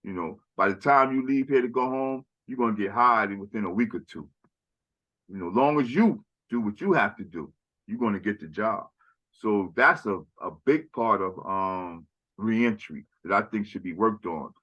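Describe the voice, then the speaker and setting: A man's voice: on the low side, expressive, normal speed, a bit bright, dull audio. A man speaking in a casual conversational chat as a podcast guest, rambling and often tagging "right?" and "you know".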